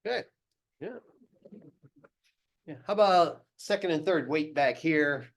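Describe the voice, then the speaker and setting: Slow-paced, higher-pitched male teacher. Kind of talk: informal classroom instructions.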